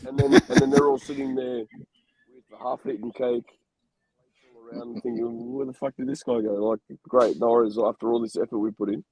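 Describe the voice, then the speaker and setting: A man's voice: mid-range voice, level tone, moderate pace, vocal fry, dark tone, husky, no echo, rough recording. A man in a casual group chat throwing in wry joking asides.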